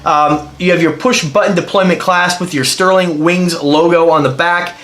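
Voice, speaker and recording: A high-voiced man sounds stuffed up from a cold as he chats through a casual review, rambling and eager while he explains what he's holding. His voice bounces around a noticeably echoey room. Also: steady tone, normal speed, a bit bright. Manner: rambling, enthusiastic, explains as he handles the item. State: congested with a cold. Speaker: watch reviewer.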